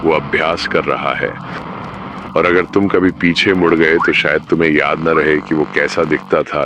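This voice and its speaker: A man with a very low, deep voice tells a scripted horror story in an ominous tone. He speaks straight to the listener, warning them step by step. A faint hiss of noise sits under the voice. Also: muffled recording. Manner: ominous, addresses the listener directly. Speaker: narrator.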